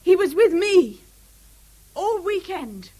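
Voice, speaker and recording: An actress playing an anxious, pleading young sixth-former in a radio drama, polite and hesitant. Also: female voice, very high voice, sing-song, slow pace, dark tone, full character voice. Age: young adult. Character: anxious, pleading teenage sixth-form girl. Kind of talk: 1970s radio drama acting.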